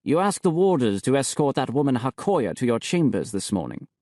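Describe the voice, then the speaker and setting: Smug bishop, measured and gloating, dry close sound; man reading. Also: medium pitch, big pitch swings, a little mellow, no echo, voice pushed up, close to an everyday voice. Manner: slow, gloating.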